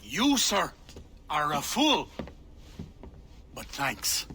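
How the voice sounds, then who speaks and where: An actor playing a gruff but kindly older male colonist, a father figure, in a video game drama. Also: a little high, wildly swooping pitch, drawn-out words, exaggerated voice. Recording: very loud music over voice.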